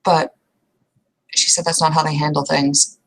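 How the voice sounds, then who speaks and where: A woman roleplaying a weary, conflicted, blunt young female werewolf, speaking haltingly and coarsely in an improvised monologue. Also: low-pitched, flat delivery, a bit bright, exaggerated voice, low-quality recording. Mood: resigned, unsure. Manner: hesitant, halting, coarse-mouthed.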